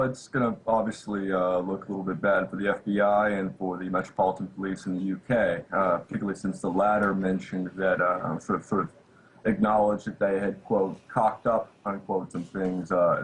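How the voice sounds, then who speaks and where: A low-voiced American man answers as an interview guest, speaking off the cuff. He halts and searches for his words, with some noise audible behind him. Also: low-quality recording. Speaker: interview guest, activist source. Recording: clear background noise.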